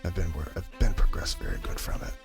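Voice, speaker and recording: A man narrating scripture in an ASMR-style Bible audio drama, with a creaky voice and a halting way with names. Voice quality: low, creaky voice. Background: music.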